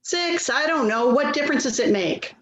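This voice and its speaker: A woman's voice: high-pitched, animated, normal speed, a bit bright. A woman playing an exasperated, worrying adult daughter in a readers theater play, nagging and put-upon, recorded in an echoey room. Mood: weary, defensive. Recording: echoey room, dull sound, faint noise.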